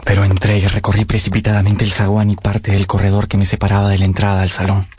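A man's voice: fairly low pitch, completely flat delivery, fast talker, darkish tone, slight background noise, close to an everyday voice. An actor playing a grieving young man, narrating his lost love in a literary, emotional radio drama style. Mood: tense, sorrowful. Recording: music under the voice. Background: music.

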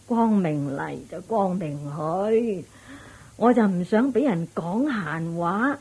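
A practical widowed mother who frets and scolds in a Buddhist radio drama. Her Hong Kong Cantonese is slow and dark, with a husky edge. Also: on the low side, lively intonation, full character voice. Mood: fretful, worried. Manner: sighing, fretful, scolding at interruptions. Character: anxious, practical widowed mother of two grown sons.

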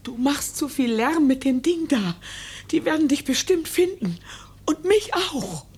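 An actress playing a stubborn, sorrowful, reclusive farm woman in a radio drama, with a husky, terse delivery. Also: high voice, lively intonation, a little slow, darkish tone. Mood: guarded, dismissive. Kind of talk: German radio drama acting.

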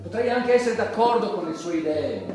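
Stern judge, indignant and theatrical; very high-pitched delivery, far from the mic in a reverberant space. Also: male voice, lively intonation, normal speed. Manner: formal, moralizing, emphatic. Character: indignant, stern male judge condemning an obscene comedian. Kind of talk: theatrical stage monologue. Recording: echoey hall, distant mic, music behind.

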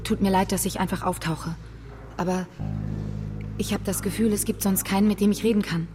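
An actress playing a weary, frustrated, self-critical woman, confiding haltingly in a naturalistic radio drama. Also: mid-range voice, steady tone, loud music behind the voice, natural voice. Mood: overwhelmed.

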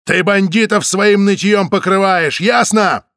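A man's voice: sits high, sing-song, a little slow, vocal fry, bright, forceful tone, dry recording, clean studio sound, pitched above the performer's own voice, exaggerated voice. A man voicing a hot-tempered male Soviet detective who barks and rages in furious outbursts. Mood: barking orders.

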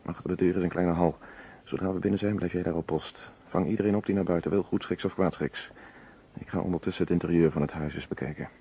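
A man's voice: low voice, steady tone, fast, warm tone, breathy edge, dull audio. An actor playing a businesslike, dryly wry male police inspector in a radio play, speaking Netherlandic Dutch in a commanding way. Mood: hushed, businesslike. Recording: noisy old radio play recording.

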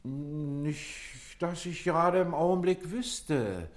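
An actor playing a servile, dutiful clerk in a German radio play, deferential, formal and halting as he reports to his superior. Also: a little high. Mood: cautious, deferential.

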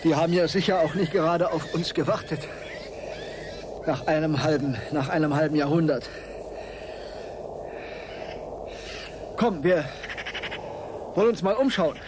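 A man's voice: fairly high pitch, normal speed, long pauses, close to an everyday voice. Man acting an eager space explorer, noisy recording. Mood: curious. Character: eager, stubborn male spaceship crewman bent on landing. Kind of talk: German radio drama acting.

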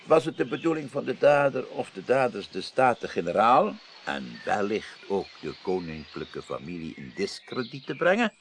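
A formal man presiding over an inquiry in a radio crime drama. His lowish voice is gravelly and swings widely in pitch as he reasons deliberately. The old broadcast carries faint noise. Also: sing-song. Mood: deliberate, analytical. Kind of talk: Dutch radio play acting.

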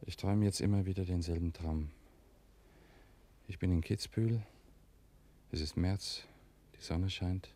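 Deep-voiced, weary wartime clerk. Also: male voice, steady tone, unhurried, long pauses, mellow. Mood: wistful, weary. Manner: plain, resigned. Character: weary, wistful male wartime clerk, war veteran. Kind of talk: radio drama dialogue.

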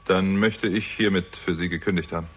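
An actor playing a jaded, hard-drinking theatrical agent with a conscience, sardonic and world-weary, in a 1960s German radio crime drama. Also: male voice, low voice, steady tone, a little fast, husky. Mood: bitter, sarcastic.

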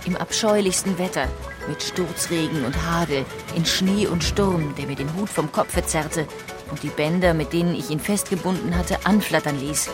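Female documentary narrator, lowish voice, composed literary delivery for an audiobook. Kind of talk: scripted documentary narration with read quotations.

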